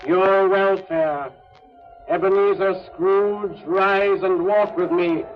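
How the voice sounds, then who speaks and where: An actor playing an ethereal, ageless spirit that is calmly commanding, intoning solemnly in 1930s radio style. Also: male voice, high voice, slow pace, soft background music. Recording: muffled 1930s radio recording.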